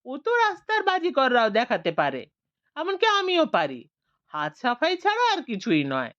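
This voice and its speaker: A skeptical old scientist past seventy, as a woman narrator puts him on, brushes off rumors in a dismissive, matter-of-fact way. The voice is fairly high with a lively, animated lilt. The recording is dry and slightly muffled. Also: normal speed, exaggerated voice.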